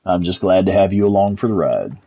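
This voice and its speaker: A man's voice: low-pitched, lively intonation, a little fast, slightly rough, highs cut off. A man with a Texas accent giving laid-back, chatty live commentary over gameplay as a streamer, answering chat and swearing casually. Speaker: gaming streamer.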